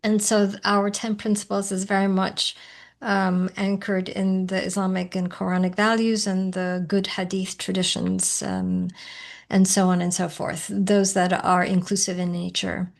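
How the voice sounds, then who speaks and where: A woman from Malaysia gives a panel presentation over a video call, often pausing on fillers as she shares her screen. Her voice is of middling pitch with a noticeable creak and fry running through it. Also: flat delivery. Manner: hesitant, many fillers while sharing her screen. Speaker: founder and president of a nonprofit.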